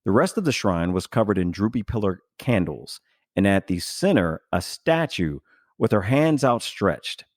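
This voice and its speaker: A man with a fairly low voice hosts a podcast, rambling through his thoughts with plenty of fillers and false starts. The sound is dry and close, with no room around it. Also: very animated, normal speed, no echo. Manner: rambling, many fillers and restarts. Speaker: podcast host. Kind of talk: casual podcast chat.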